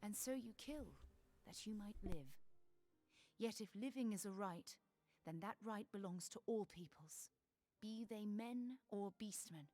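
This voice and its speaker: An actress playing a composed, sharp-witted and principled scholar in a video game, speaking in formal, measured, archaic phrases. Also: female voice, mid-range voice, dry recording, lightly acted. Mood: grave, reproachful.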